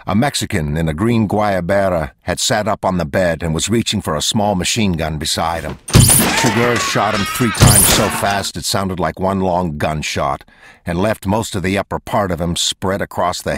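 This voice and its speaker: Male audiobook narrator, very low-pitched, measured and unhurried reading. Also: very noisy.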